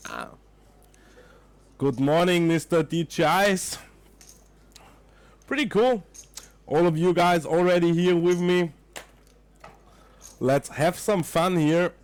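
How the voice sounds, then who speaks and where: A man's voice: fairly high pitch, slow pace, pauses often, clean tone. A young man from Austria, a non-native English speaker with an Austrian German accent, commentating a poker livestream and chatting with viewers, sometimes searching for words. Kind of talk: live poker commentary with chat.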